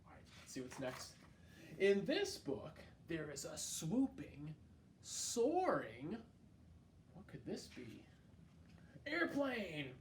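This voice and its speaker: A man's voice: high voice, a little hiss or hum. A man hosting a children's storytime, reading a picture book aloud in a playful, exaggerated way and speaking directly to his young listeners. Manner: playful, exaggerated, expressive delivery; addresses young listeners directly with questions and prompts. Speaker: children's storytime host at a library. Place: library.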